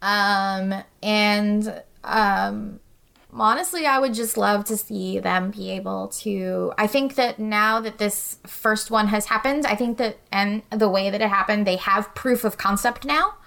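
A woman talks as a guest on a podcast. She is earnest and articulate, sharing opinions in a casual conversational way. Her voice sits at a middle pitch. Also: measured pace, vocal fry, fairly bright tone.

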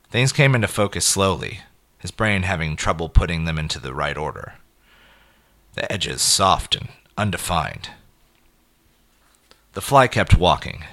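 A man reading a scripted horror story as a dramatic narrator, in deliberate, clipped phrases. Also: low-pitched, sharp tone.

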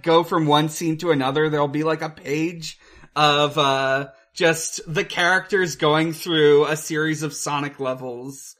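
A podcast co-host casually discussing a film in a halting, hesitant way, with casual swearing. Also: medium pitch, fairly bright tone.

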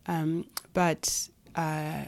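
Interview guest, woman in her thirties, Nuer first language, lowish voice, hesitant delivery with frequent fillers. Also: flat delivery, extremely slow, vocal fry, darkish tone. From Kenya. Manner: hesitant, many fillers and restarts. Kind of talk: interview conversation.

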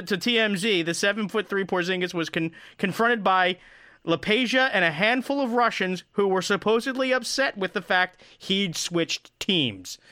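High, bright, animated male co-host. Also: no room sound, clean studio sound. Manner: animated, rambling with restarts and laughs. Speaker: podcast co-host. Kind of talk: casual comedic sports chat.

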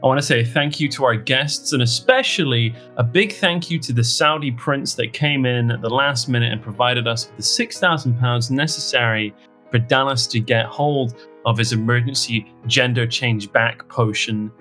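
Man, podcast host, mid-pitched voice, jokey rambling chat, self-mocking, guitar music behind. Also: normal speed, bright, forceful tone. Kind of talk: casual comedic chat.

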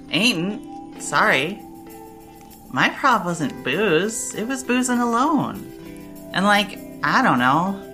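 A woman's voice: medium pitch, pushed and bright, full character voice. An actress playing a breezy, supportive, blunt young woman who talks in casual slang in an audio drama, with music behind her. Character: Roxy, breezy supportive party-loving young woman, recovering alcoholic. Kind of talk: fandub audio drama dialogue.

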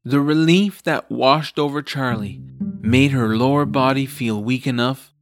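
A man delivers scripted story narration for an audio drama. His voice is medium in pitch, and music plays clearly underneath as he reads. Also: a little slow.

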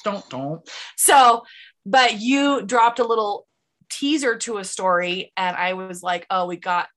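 Bright-voiced bubbly woman host with Utah accent. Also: mid-range voice, animated, unhurried. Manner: bubbly, laughs often, chatty. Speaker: podcast host. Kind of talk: casual interview chat.

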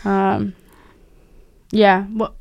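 A woman's voice: medium pitch, monotone, very slow, gravelly, a little mellow, lo-fi sound. A young woman co-hosting a podcast, a native Portuguese speaker, chatting casually and laughing often. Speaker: podcast co-host. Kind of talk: casual chat.

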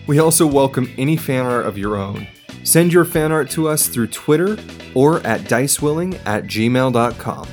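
A man with a medium-pitched voice speaks as a player and announcer on a role-playing game podcast. Plucked guitar music can be heard behind him. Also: expressive, moderate pace. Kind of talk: tabletop play and podcast announcements.

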